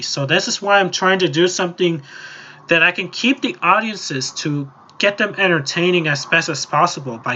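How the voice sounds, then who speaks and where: A man with a fairly high, forceful and bright voice talks through a casual software tutorial. He explains in a rambling way, circling back over his points. The recording is a little dull and lo-fi. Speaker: tutorial host.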